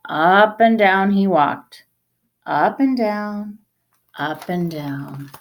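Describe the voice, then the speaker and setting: A woman in her thirties reading a children's storybook aloud like a teacher, expressively and with deliberate emphasis on repeated words. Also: medium pitch. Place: small indoor room.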